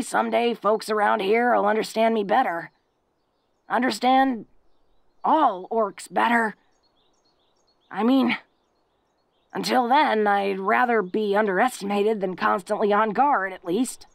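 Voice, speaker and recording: Woman acting a slow, gravelly, awkward orc girlfriend. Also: on the high side, dry recording. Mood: earnest, musing. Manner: folksy, hesitant, stammering, earnest. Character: tough, awkward, tender-hearted young female orc girlfriend. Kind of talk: intimate romantic audio roleplay.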